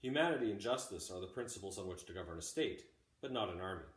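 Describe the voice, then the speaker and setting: A man reading a classic text aloud like a teacher, in measured, deliberate phrases. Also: low voice, expressive. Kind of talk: audiobook-style read-aloud of a classic text. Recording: roomy, echoey home recording.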